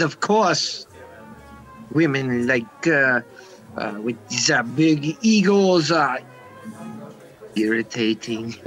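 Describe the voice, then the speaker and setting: An actor playing an irritable, defensive nightclub manager with a halting, stammering delivery in a radio play. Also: male voice, medium pitch, fairly bright tone, poor audio.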